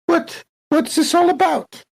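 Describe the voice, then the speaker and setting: A woman's voice: very high voice, measured pace, creaky, a little mellow, exaggerated voice. A woman voicing a curious, probing crew member in a tabletop game, speaking in a lilting, inquisitive way.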